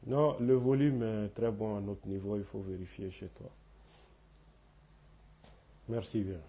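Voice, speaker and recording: A man, hoarse from a cold, hosting a live talk in French and Bambara with many hesitant fillers. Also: fairly low pitch, fairly even intonation, measured pace, warm tone. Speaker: host of a live online talk.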